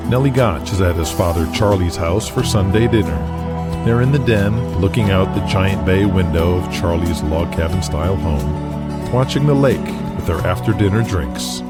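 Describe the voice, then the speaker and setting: A man's voice: low-pitched, animated, normal speed, loud music behind the voice. A man narrating an audio drama from a script, describing each scene in the present tense.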